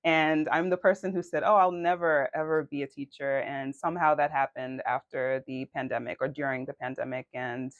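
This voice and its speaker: A woman's voice: a bass voice, fairly even intonation, fairly quick, few pauses, dry recording, muffled recording. A young adult woman from Queens, co-hosting a podcast in an earnest, faith-filled way and laughing easily. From New York City, Queens. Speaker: podcast co-host. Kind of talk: casual chat.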